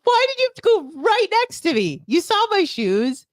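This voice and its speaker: Animated, foul-mouthed woman chatting. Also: extremely high pitch, moderate pace, dry recording, studio quality. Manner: crude, profane, animated storytelling. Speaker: co-host. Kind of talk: casual comic chat, telling an anecdote.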